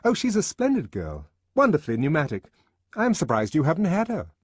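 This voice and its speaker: A man's voice: on the high side, huge pitch swings, brisk, husky, no room sound, voice pushed up, heavily acted. A man voicing a smug, lecherous young man with a breezy, boastful manner in an audiobook. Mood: appreciative. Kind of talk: audiobook character acting.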